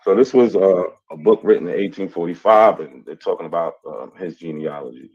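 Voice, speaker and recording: Brief: very deep-voiced man, casual hesitant explainer, dull lo-fi recording. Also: a little mellow, slightly rough, faint music behind. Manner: hesitant, many filler pauses. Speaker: amateur genealogist.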